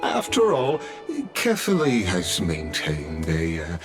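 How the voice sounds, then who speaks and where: A deep, oily, flattering noble. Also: male voice, exaggerated voice. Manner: unctuous, flattering, chuckles often. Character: courtly scheming male noble host, smooth and ingratiating. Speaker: noble host. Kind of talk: game cutscene voice acting. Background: game score music.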